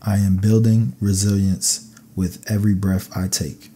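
A man narrating scripted sleep meditation affirmations in a calm, soothing, measured way. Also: lowish voice, a little hiss or hum.

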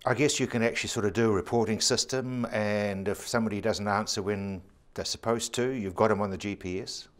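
Kiwi bloke asking quick short questions, lowish voice. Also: male voice, fairly even intonation. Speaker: interviewer. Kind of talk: interview questions.

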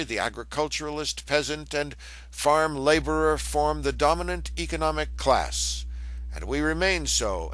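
Bright-voiced man reading a scholarly lecture. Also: on the high side, no echo, low-quality recording. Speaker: reader of a historical lecture text. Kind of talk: read-aloud scholarly lecture.

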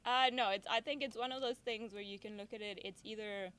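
A woman's voice: medium pitch, fairly even intonation, brisk, fairly bright tone, clear, resonant tone. Chatty Venezuelan woman outdoors. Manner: chatty, laughs often, acts out her own past lines. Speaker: safari guide guest. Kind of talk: casual interview chat. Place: outdoors at a campfire circle in the bush.

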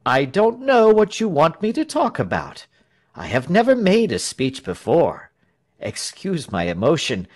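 An earnest, self-conscious folk hero, played by a male narrator, declaims like an untried orator. The voice is raised in pitch and swings widely, with halting pomp suited to a storybook. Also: wildly swooping pitch, full character voice. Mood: proud, earnest. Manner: declamatory, halting speech-making. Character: bashful hero turned earnest, pompous public orator.

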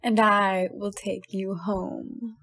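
A woman's voice: medium pitch, little pitch movement, slow pace, a bit bright, no room sound. A woman playing a sly, condescending fairy creature in an audio drama, taunting with endearments. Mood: silky, taunting.

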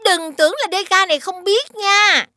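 An actress playing a haughty, suspicious, greedy rich sister-in-law in an animated fairy tale, with snooty exaggeration. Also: female voice, very high voice, normal speed. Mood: accusing.

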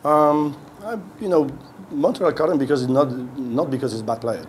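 A middle-aged man, a head coach, answering questions at a post-game press conference, speaking haltingly with many restarts as he searches for words. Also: a little high, lively intonation, slight background noise. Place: indoor press room. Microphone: podium mic on a stand.

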